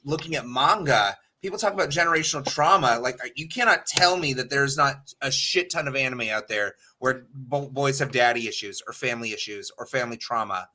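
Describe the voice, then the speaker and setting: A man's voice: mid-range voice, fairly quick, few pauses, pushed and bright, slight background noise. A man talking casually and bluntly as a panelist on a talk show, opinionated and freely swearing. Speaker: podcast panelist.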